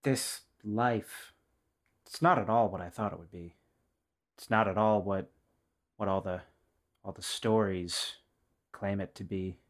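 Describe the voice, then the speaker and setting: A man acts out a self-doubting assassin in a tabletop pirate adventure. His voice is fairly low. He speaks in a measured, reflective, understated way, like someone who keeps his guard up. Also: natural voice. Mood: melancholy, confessional.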